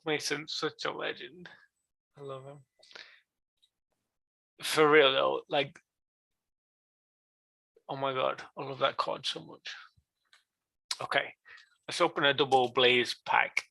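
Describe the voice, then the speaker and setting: A man with an accent opening trading card packs on a live stream, chatting casually and reacting with quick excitement that often trails off quietly. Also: mid-range voice, gravelly, dry recording. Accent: non-standard English accent.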